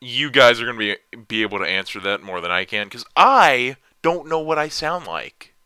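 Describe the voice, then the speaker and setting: Young man, deep lively voice, unhurried self-deprecating rambler, dry close mic. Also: clear, resonant tone, dry recording. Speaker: vlogger answering viewer questions. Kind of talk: casual rambling chat.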